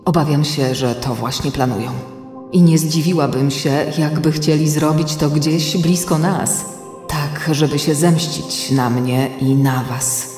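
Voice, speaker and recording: A woman playing a calm, watchful artificial intelligence mentor in a children's radio play, serious and deliberate, giving warnings. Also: deep voice. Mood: grave. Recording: echoey long reverb, music underneath.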